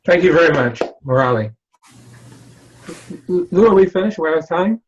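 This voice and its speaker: A man leading a meeting segment over a video call, thanking speakers briskly. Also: fairly high pitch, expressive, husky, dull audio. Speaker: segment leader.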